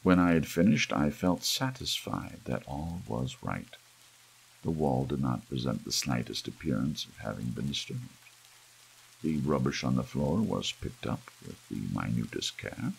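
A man with a gravelly, husky voice reads a horror story aloud in a theatrical, brooding ASMR audiobook style, with emphatic swells. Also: rumbling low, very animated, a little slow, a little hiss or hum, poor audio. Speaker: audiobook reader. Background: soft rain.

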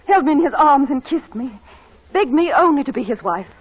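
A woman's voice: sits high, animated, fairly quick. An actress playing a haughty, defiant, lovestruck young lady in a prim 1940s radio drama style. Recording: dull old radio broadcast.

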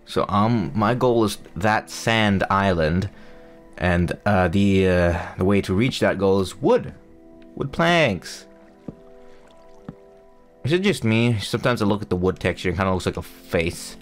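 A man's voice: fairly low pitch, lively intonation, measured pace, faint music behind. A young man, not a native English speaker, chatting loosely over his gameplay with lots of fillers and restarts. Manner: rambling, self-mocking, full of fillers and restarts. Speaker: gaming YouTuber. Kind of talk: casual gameplay commentary.

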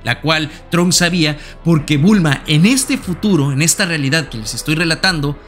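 A man's voice: fairly high pitch, moderate pace, fairly bright tone. A man narrating a scripted anime explainer in an emphatic way, with conversational asides addressed straight to viewers. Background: background music.

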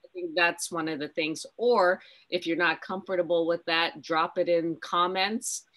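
A middle-aged woman on a video call, giving relaxed, conversational advice. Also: on the low side, normal speed. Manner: relaxed, conversational, many fillers. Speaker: business owner, panelist. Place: home room, indoors.

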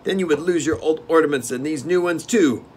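A man voicing a gruff male gnome steward who growls and scolds his master bluntly. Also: a little high, a little fast, darkish tone, slight background noise, pitched above the performer's own voice, close to an everyday voice. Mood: gruff, scolding.

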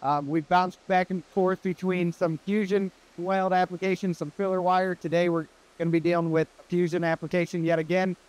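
A man's voice: high voice, little pitch movement, normal speed, darkish tone, rough recording. A man in his thirties presenting and interviewing in a casual, upbeat, conversational way as a video host. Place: industrial workshop, indoors. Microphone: clip-on wireless mic on shirt. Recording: clear background noise in workshop.

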